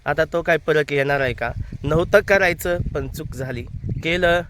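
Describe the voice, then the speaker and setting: A man playing a kindly man who gently reassures a weeping widow. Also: on the high side, moderate pace, slight background noise, close to an everyday voice. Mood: consoling.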